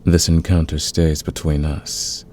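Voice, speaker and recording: A man playing a smitten, dominant fantasy villain in a romantic ASMR roleplay, speaking in an intimate, teasing, confident way with a gravelly, husky voice. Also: very deep voice, little pitch movement, unhurried, a little mellow, a little hiss or hum. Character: smitten male fantasy villain, patient, dominant, smoothly seductive.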